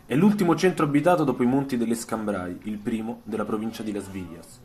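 A man narrating a scripted story for radio, in measured phrases with dramatic pauses. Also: fairly low pitch, darkish tone. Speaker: storyteller and author. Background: soundtrack music.

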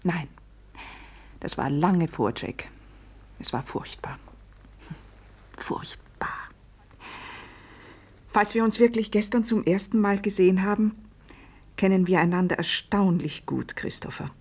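A woman's voice: mid-range voice, a little slow, pauses often, a little mellow, breathy edge. An actress playing an anxious, motherly young married woman in a 1950s German-language radio play. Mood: troubled, brooding. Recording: 1950s radio drama recording.

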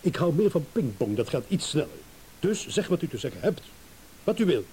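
An actor playing a self-assured, impatient philandering husband in a radio play, sardonic, blunt and mocking. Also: male voice, a little high, sing-song, a little fast, warm tone, poor audio. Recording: hissy old radio play recording.

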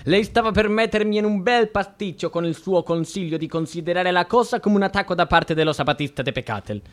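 A man's voice: high voice, fairly quick, close to an everyday voice. Guy playing anxious pragmatic judge in a radio drama, unsure, keeps checking with little questioning tags. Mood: agitated. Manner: hesitant, tags phrases with "eh?". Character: nervous, wavering male judge in Mexico, anxiously pragmatic. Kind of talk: radio play acting.

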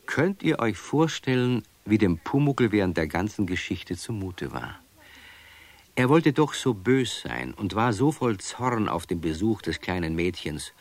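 An actor as the storyteller of a children's radio play, narrating from a script and addressing young listeners. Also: male voice, lowish voice, big pitch swings, unhurried, exaggerated voice. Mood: engaged.

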